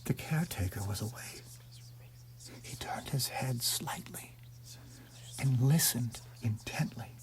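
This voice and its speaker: A man narrating a ghost story in an intense, theatrical way, swinging between hushed and shouted delivery. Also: mid-range voice, takes it slowly, pauses often, whispered. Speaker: audiobook narrator.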